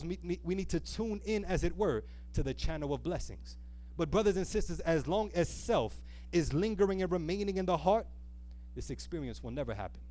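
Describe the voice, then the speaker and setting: A man preaching an earnest, exhorting sermon, pressing rhetorical questions and repeating key phrases with deliberate, slowed emphasis. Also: on the high side, a little mellow. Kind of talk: sermon with a closing appeal.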